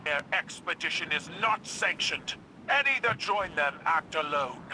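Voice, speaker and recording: A commanding alien warrior empress from a sci-fi game. Her delivery is imperious and measured, with a hard, very sharp edge, sent as a radio transmission over faint noise. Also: sits low, animated, exaggerated voice, rough recording. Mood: stern, commanding. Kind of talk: formal proclamation in a sci-fi game.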